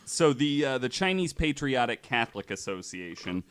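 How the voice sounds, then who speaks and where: A young man hosting a casual podcast, retelling a story in a relaxed way with lots of fillers. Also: mid-range voice, normal speed, clean tone. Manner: relaxed, many fillers, voices others' claims with mock quotes. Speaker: podcast host.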